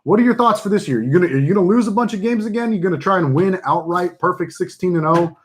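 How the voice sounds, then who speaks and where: A man with a deep voice, casually hosting a fantasy football draft stream, joking and rambling with lots of fillers. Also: fast talker. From Texas. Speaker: fantasy football league host. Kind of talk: casual live stream chat.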